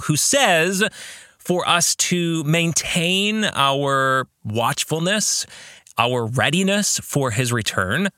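A man speaks as a podcast host in a bright, forward voice with animated rises and falls. His phrasing is deliberate and earnest, like someone thinking through religious questions for listeners. The sound is close and very dry, with no room around it. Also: fairly high pitch, no room sound. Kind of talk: reflective religious commentary on a book.

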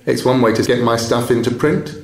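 A man's voice: medium pitch, steady tone, a little fast, creaky, roomy sound, lightly acted. A man voicing a shrewd, unembarrassed teenage schoolgirl with an English accent and a direct, colloquial way of talking, in an audiobook. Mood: direct, unapologetic.

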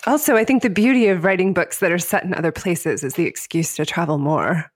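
A woman's voice: on the low side, fairly quick, dry recording. A woman hosting a podcast interview in a conversational, musing and encouraging way.

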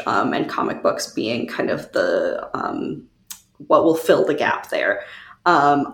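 A woman co-hosting a podcast, presenting researched history in a conversational, wry and animated way with giggly asides. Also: fairly low pitch, reverberant. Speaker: podcast co-host.

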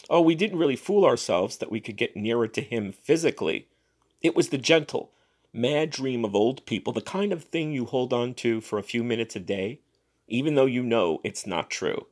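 A man's voice: mid-range voice, animated, normal speed, darkish tone, close to an everyday voice. Man voicing a wistful, determined old woman. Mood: wistful, gentle.

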